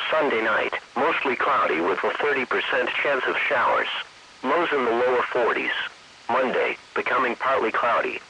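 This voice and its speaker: A synthetic man's voice reading an automated weather forecast in formal, even phrases. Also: medium pitch, husky, poor audio. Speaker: weather radio announcer.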